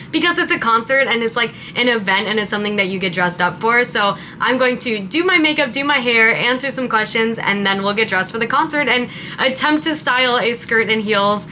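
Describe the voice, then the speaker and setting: A woman's voice: fairly high pitch, bright, forceful tone, slight background noise. A woman in her late twenties, from Montana and raised in Southern California, chatting casually and rambling while doing her makeup at home. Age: 20s. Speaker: content creator. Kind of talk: casual get-ready-with-me chat.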